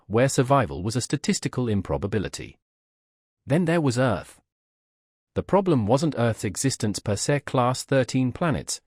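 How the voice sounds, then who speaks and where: Male narrator, mid-range pitch with plenty of movement, wry scripted sci-fi storytelling. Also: a little mellow, no room sound, clean studio sound.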